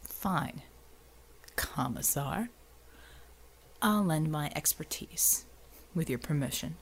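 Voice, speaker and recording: A woman voicing a gruff, plain-spoken, tough American man in an audiobook story. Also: sits low, lively intonation, slow, slight background noise, voice pushed down, full character voice. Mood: grudging. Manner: colloquial, blunt, coolly menacing when persuading.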